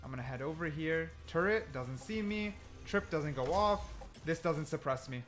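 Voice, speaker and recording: A man gives excited gameplay commentary with a very lively, swinging pitch. He thinks out loud in exclamations and repeats himself as he goes, with faint music behind him. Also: moderate pace. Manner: repetitive, exclamatory, thinking aloud. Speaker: gaming content creator testing game mechanics.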